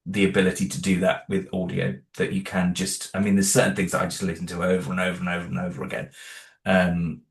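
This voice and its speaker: Deep-voiced man in an echoey room. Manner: thoughtful, halting, many restarts and "you know". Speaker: creator of an audio drama, interview guest. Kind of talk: casual interview talk.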